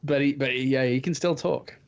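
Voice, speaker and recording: A man chats casually as a podcast co-host in a mid-pitched voice. He is halting, repeating words as he finds his way, on slightly muffled audio. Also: vocal fry. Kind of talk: casual wrestling podcast chat.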